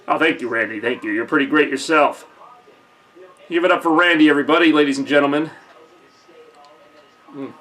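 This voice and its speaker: A young man casually hosting a live holiday stream from a decorated room at home, joking and thinking out loud with lots of fillers, recorded on a camcorder mic. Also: fairly high pitch, brisk, long pauses. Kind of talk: casual live-stream chat reading viewer comments.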